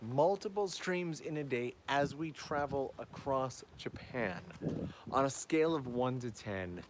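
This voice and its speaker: A man hosting a live travel stream outdoors, chatting upbeat to viewers while walking, his voice going hoarse. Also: mid-range voice, slight background noise. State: losing his voice. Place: outdoors on town backstreets at evening.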